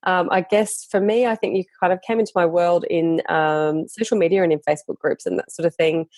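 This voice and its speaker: A woman's voice: on the low side, fairly even intonation, fairly quick, darkish tone, no echo. A woman hosting a podcast, chatting enthusiastically with lots of ums and restarts. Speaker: podcast host. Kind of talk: casual interview chat.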